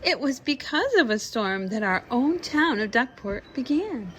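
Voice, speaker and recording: A woman voicing a kindly, unworried old grandmother from a children's storybook in a reassuring, unhurried way. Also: a little high, big pitch swings, a little hiss or hum, poor audio.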